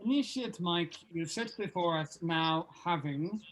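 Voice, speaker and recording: A man's voice: fairly high pitch, unhurried, a bit bright, slight background noise, low-quality recording. A man playing a pompous band leader with a mock-solemn, ceremonial manner in a comedy script read-through.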